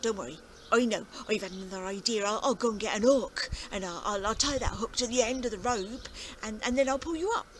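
A woman's voice: sits high, very animated, moderate pace, fairly bright tone, a little hiss or hum. A woman voicing a kindly, flustered elderly male farmer who stammers and repeats himself in a spoken storytelling performance.

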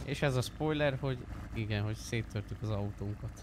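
A man in casual, slangy gaming chat, talking haltingly and repeating his words. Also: on the low side. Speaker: roleplay game player. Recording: loud car engine noise behind.

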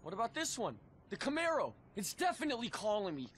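A man's voice: high voice, wildly swooping pitch, fast talker, fairly bright tone, a little hiss or hum, exaggerated voice. A young man playing an eager, pleading teenage boy in video game cutscene dialogue.

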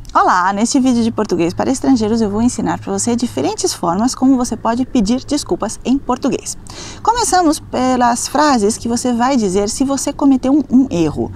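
A woman in her thirties teaching a beginners' language lesson to camera, enunciating phrases deliberately. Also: on the high side, darkish tone, slight background noise. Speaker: language teacher and channel host. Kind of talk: instructional talk to camera for beginners. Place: outdoors on a coast by the sea.

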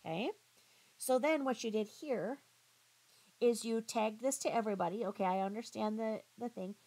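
A woman giving critical reaction commentary in a pointed, halting, questioning manner. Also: fairly high pitch, dark tone, low-quality recording. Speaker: commentary vlogger.

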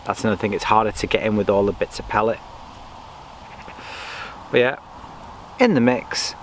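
A man's voice: on the low side, moderate pace, poor audio. A man, chatting casually about his fishing outdoors on a lakeside bank. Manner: relaxed, chatty, talks himself through each step. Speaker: angler vlogger. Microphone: camera on the bank in front of him. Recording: faint outdoor noise.

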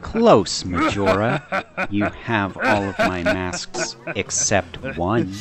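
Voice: mid-range voice, very animated, takes it slowly, gravelly, noisy background, heavily acted. A performer voicing a gullible, earnest and cocky young boy hero in a cartoon game parody. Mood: confident. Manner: earnest, dim, dramatic. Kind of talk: parody dub acting.

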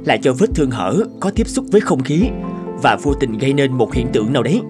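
Lively-pitched male voice, troubled cartoon doctor, earnest, formal, measured, soft music behind. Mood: thoughtful, earnest. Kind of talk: animated cartoon drama dubbing.

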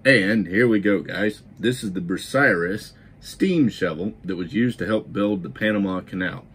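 A man's voice: fairly low pitch, normal speed, fairly bright tone, husky. A middle-aged man hosting a toy model channel, moving from scripted history narration into an enthusiastic, casual product review. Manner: enthusiastic, emphatic repetitions.

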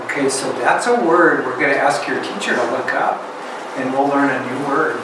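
A middle-aged man reading a picture book aloud to a class of children and asking them questions, in a slow, expressive storybook manner. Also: mid-range voice, background noise. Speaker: guest reader. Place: elementary school classroom. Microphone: far camera. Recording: echoey classroom from far off.

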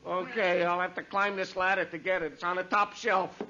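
An actor playing an exasperated, self-pitying department store clerk who frets and mutters, in old radio comedy style. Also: male voice, high-pitched, flat delivery, a little fast, close to an everyday voice. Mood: exasperated, despairing. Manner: fretful, muttering complaints to himself. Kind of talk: 1950s radio comedy acting. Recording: dull, noisy old radio recording.

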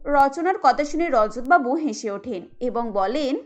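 A woman's voice: high voice, animated, measured pace, faint music behind. A woman giving scripted narration for a family cartoon story.

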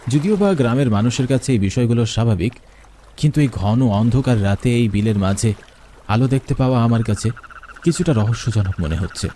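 A man's voice: mid-range voice, expressive, fairly quick, mellow, slight background noise. A man narrating a first-person horror story in a dramatic, suspenseful way.

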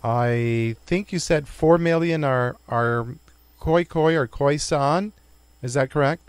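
A man hosting a radio interview who asks his questions in a formal, courteous and deliberate way. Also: fairly high pitch, clean tone, no echo. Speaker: radio show host.

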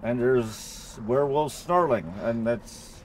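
A man giving casual let's-play commentary, thinking out loud and repeating himself as he goes. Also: mid-range voice, takes it slowly, a little hiss or hum. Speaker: gamer playing and commenting on a horror game.

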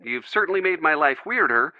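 Game role, male actor: young American boyfriend, loyal, caring, wry. Animated delivery, dry and slightly muffled recording. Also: fairly high pitch, brisk, no room sound, close to an everyday voice. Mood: wry, joking. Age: young adult. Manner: earnest, gently joking. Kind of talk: video game dialogue, phone conversation.

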